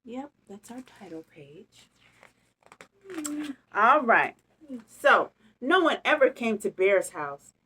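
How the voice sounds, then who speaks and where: Female storytime reader: high-pitched, highly animated, unhurried, playful chat between lines of the book. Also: a little hiss or hum, low-quality recording. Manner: expressive, playful, chatty. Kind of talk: read-aloud with casual asides to children.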